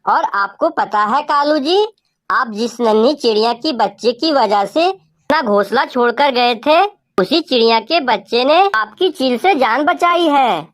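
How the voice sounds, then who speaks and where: Woman voicing a high-pitched motherly cartoon crow. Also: animated, measured pace. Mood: joyful, earnest. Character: caring motherly female crow.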